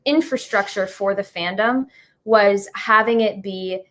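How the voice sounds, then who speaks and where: A woman's voice: medium pitch, a little slow, fairly bright tone. A woman in her twenties talking casually as an interview guest, in a chatty, informal way. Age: 20s. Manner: chatty, informal, hedging with "like" and "sort of". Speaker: musical writer and guest being interviewed.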